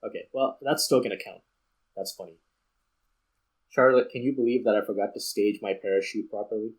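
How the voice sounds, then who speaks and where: Male game streamer, lowish voice, casual and a little hesitant, explaining while he plays. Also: a little fast, husky, low-quality recording. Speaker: video game streamer and host.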